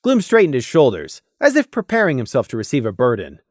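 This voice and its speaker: Low-voiced woman narrating a story. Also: wildly swooping pitch, a little fast, a little mellow, no echo, studio quality. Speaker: audiobook narrator.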